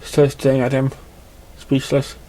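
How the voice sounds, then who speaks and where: A man's voice: medium pitch, completely flat delivery, measured pace, warm tone, poor audio. A man reading a scripted story aloud as a narrator, in a dramatic storytelling style. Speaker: fan fiction reader.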